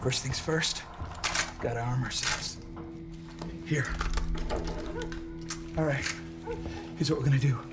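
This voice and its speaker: A man playing a weary, determined lawman in a video game, talking in a hushed, urgent, sweary way to a rookie. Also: mid-range voice, steady tone, takes it slowly, long pauses, close to an everyday voice. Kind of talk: naturalistic video game acting. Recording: loud music and effects behind.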